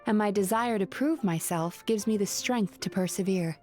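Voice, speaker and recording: An actress voicing a composed, earnest young businesswoman in a video game, speaking in a calm, measured, sincere way. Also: medium pitch, faint music behind, close to an everyday voice.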